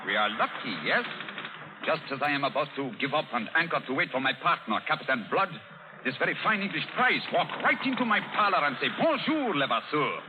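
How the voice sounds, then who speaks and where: An actor playing a boastful French pirate captain in a radio drama, effusive and theatrical, with a thick French accent and broken English. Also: male voice, a little high, big pitch swings, fairly quick, long reverb tail, noisy background. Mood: sly, gleeful. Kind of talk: 1930s radio drama acting. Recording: dull old radio recording.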